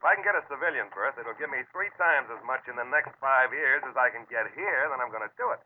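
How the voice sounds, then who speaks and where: An actor playing a cynical, money-minded Navy diver with terse, dry delivery in a 1950s radio drama. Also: male voice, mid-range voice, animated, quick pace, slight background noise, lightly acted. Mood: cynical, guarded. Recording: tinny old radio recording.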